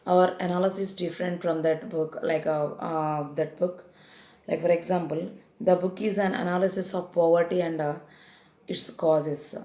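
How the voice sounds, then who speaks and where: A woman's voice: lowish voice, steady tone, moderate pace, mellow, roomy sound. A woman with an Indian English accent teaching confusable English words, repeating each definition and often hesitating with fillers. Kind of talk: vocabulary lesson.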